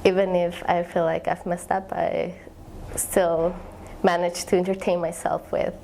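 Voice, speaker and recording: Warm, gravelly woman. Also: lowish voice, flat delivery, measured pace, a little hiss or hum, low-quality recording. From Ecuador. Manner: thoughtful, searching for words with many fillers. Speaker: graduate student researcher. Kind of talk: interview about her research. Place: eye tracking lab.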